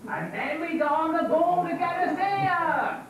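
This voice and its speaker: Very high woman acting a rustic pantomime traveller. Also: animated, unhurried. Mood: hearty. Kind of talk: amateur pantomime stage acting. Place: stage in a village hall, audience present. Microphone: far camera. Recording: distant camcorder, echoey noisy hall.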